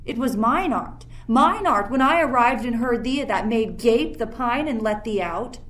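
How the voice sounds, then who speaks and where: A woman's voice: fairly high pitch, expressive, measured pace, a bit bright. A young woman playing an imperious sorcerer who rules an island, in theatrical Shakespearean verse for a radio play. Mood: stern, threatening. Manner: commanding, theatrical. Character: Prospero, imperious, stern sorcerer ruling an island.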